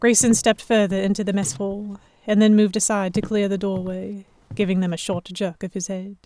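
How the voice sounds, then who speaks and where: A woman's voice: medium pitch, level tone, moderate pace, dark tone. A woman giving scripted first-person narration for a historical audio drama. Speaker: narrator and lead actor.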